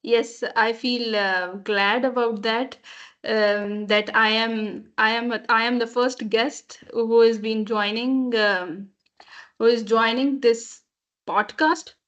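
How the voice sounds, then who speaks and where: High-voiced woman on a dull call. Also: steady tone, takes it slowly, a bit bright. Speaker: podcast guest, addiction counselor. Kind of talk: unscripted interview answers. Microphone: remote online call.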